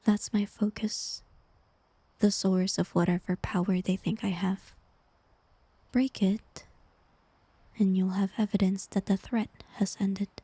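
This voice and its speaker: A woman playing a tender, heartbroken bard facing a former lover in an intimate fantasy ASMR roleplay, speaking softly and wistfully in a breathy near-whisper. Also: medium pitch, steady tone, no echo. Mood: quiet resignation. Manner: slow, gentle, wistful delivery with pauses.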